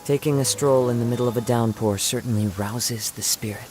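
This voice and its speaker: A man playing a composed, courteous gentleman in a video game, speaking in a formal, measured manner. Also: mid-range voice, fairly even intonation, lightly acted. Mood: serene. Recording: music behind the voice.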